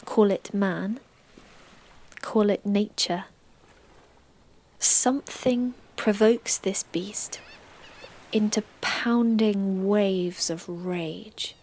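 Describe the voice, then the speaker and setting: Female poetry reader, mid-pitched, drawn-out delivery with dramatic pauses. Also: darkish tone. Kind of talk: poetry recitation.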